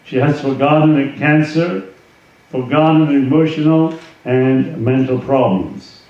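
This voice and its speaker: A man speaking as a swami, giving an informal spiritual talk and reading aloud from a book, with halting conversational asides. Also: medium pitch. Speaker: swami, yoga teacher. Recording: roomy echo, distant mic, dull sound, faint noise.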